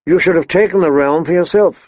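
A man voicing a proud, scheming queen in an audiobook, taunting and scornful, with some lines dropped to a soft hush. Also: on the high side, quick pace, no echo, dull audio, voice pushed up, close to an everyday voice. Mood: cold. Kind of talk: audiobook character voice.

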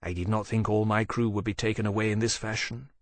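A man's voice: on the low side, steady tone, fast talker, close to an everyday voice. Man voicing an earnest, precise dragon. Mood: displeased. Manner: precise, earnest, formal phrasing. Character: earnest, eager young male dragon, polite and bossy.

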